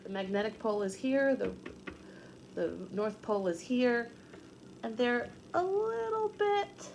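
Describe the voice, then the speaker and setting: A woman's voice: a little high, expressive, measured pace, gravelly, a little mellow, a little hiss or hum. A woman teacher reading aloud to young children, explaining things patiently in simple words with repetition and questions. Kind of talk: read-aloud lesson with explanatory asides for children.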